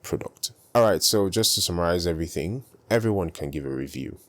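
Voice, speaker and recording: A young man with a very deep voice, speaking softly and calmly in a casual tutorial vlog. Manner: soft-spoken, calm and unhurried. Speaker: video creator.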